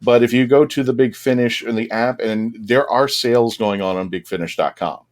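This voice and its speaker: A man hosting a casual panel discussion, talking earnestly and haltingly, rephrasing as he goes. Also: mid-range voice. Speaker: podcast host.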